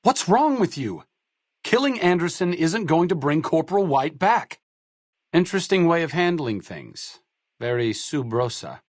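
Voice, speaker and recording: A wry nerdy idealist doctor from a post-apocalyptic game, articulate, very expressive pitch, dry studio sound. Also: male voice, unhurried, dry recording. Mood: indignant, then thoughtful. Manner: sardonic, articulate, dryly witty. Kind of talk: video game companion dialogue.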